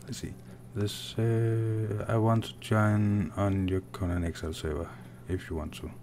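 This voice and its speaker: Soft-spoken Danish man streaming a game. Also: fairly low pitch, flat delivery, a little hiss or hum. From Denmark. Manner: soft-spoken and unhurried, muttering while playing. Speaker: gaming streamer. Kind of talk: live gameplay commentary reading chat.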